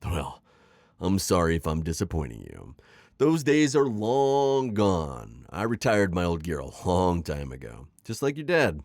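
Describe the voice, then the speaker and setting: A man's voice: low-pitched, wildly swooping pitch, unhurried, a little mellow, no room sound, studio quality, exaggerated voice. A man playing a sly, teasing, middle-aged retired magical villain in an ASMR roleplay, theatrical and coaxing in his delivery. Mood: smooth, feigned sincerity. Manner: theatrical, teasing, manipulative coaxing with sighs and chuckles. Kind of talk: scripted ASMR roleplay acting.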